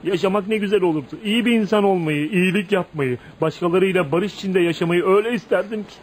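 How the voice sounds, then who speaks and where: Radio play lead: bitter, brooding outcast in his thirties male actor, emotional and dramatic, higher register, light background noise. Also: fast talker, natural voice. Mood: wistful, pleading. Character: tormented, bitter 35-year-old outcast man, brooding and volatile. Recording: noisy radio drama recording.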